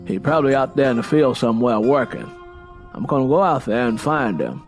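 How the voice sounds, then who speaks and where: A man's voice: medium pitch, animated, brisk, faint music behind, lightly acted. A man voicing a stern, confident Southern matriarch in an audiobook, instructing firmly with a Southern US accent. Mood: confident, planning.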